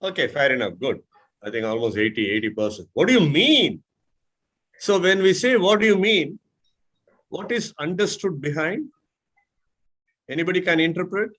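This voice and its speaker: South Indian man mentoring, slightly high voice. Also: lively intonation, a bit bright. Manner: deliberate, phrase-by-phrase explaining. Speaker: mentor leading a public speaking session. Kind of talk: interactive lesson on intonation.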